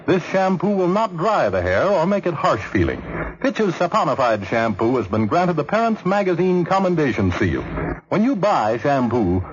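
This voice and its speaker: An American man announcing in a polished, scripted 1940s radio style, pitching like an emphatic salesman. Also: a little hiss or hum. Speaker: radio announcer reading sponsor ad. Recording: muffled 1940s radio recording.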